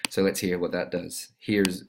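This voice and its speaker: A man giving a casual, step-by-step music software tutorial in an explanatory way. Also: low-pitched, flat delivery, a little slow, vocal fry, very noisy. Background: musical instrument notes from demonstrations.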